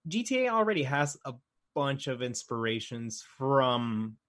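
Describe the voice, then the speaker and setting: A man's voice: mid-range voice, big pitch swings, unhurried, fairly bright tone. A man co-hosting a podcast, talking informally and hesitantly as he thinks aloud. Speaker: podcast co-host. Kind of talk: casual conversational chat.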